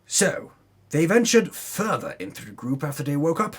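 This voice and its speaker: A man's voice: fairly high pitch, very animated, normal speed, breathy edge. A man narrating a tabletop campaign recap as a dramatic storyteller, with theatrical delivery and casual asides.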